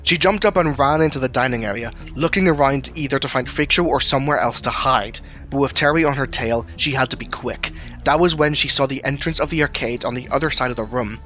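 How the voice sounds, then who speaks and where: A man's voice: mid-range voice, a little fast, a bit bright. A man reading scripted third-person narration for an audio drama. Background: music.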